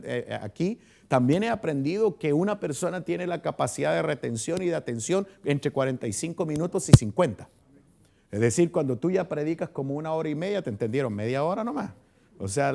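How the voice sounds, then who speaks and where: A man's voice: fairly high pitch, gravelly, a little mellow. A man from Chile with a Chilean accent, a pastor leading a conversational Bible study and quizzing his congregation as he talks. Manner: chatty, asks the congregation questions, frequent restarts. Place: church hall.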